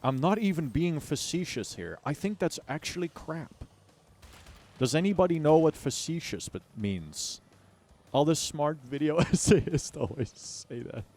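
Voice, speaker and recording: A man's voice: on the high side, expressive, a little slow, darkish tone. An American man chatting casually on a game livestream, joking in slang and complaining in mock exaggeration. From United States. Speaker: game streamer. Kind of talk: casual livestream chat while gaming. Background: music behind the voice.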